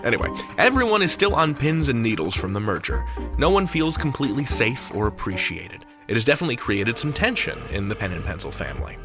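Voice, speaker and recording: A man's voice: medium pitch, very animated, a little fast. A man hosting with playful scripted narration, theatrical and tongue-in-cheek in delivery. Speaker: podcast host and narrator. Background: faint music.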